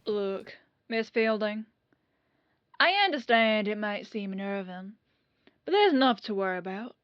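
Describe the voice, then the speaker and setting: A woman's voice: mid-range voice, lively intonation, a little slow, dry recording, lo-fi sound, close to an everyday voice. A woman playing a plain-spoken, breezy police detective lieutenant in an audio roleplay, talking in a casual, colloquial way. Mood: reassuring, firm.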